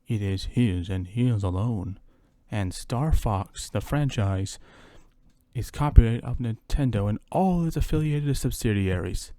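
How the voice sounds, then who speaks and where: A man's voice: lowish voice, lively intonation, measured pace, warm tone, no room sound. A man reading a scripted first-person story as a dramatic narrator, with theatrical storytelling delivery. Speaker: narrator reading his own horror story. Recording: music under the voice. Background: music.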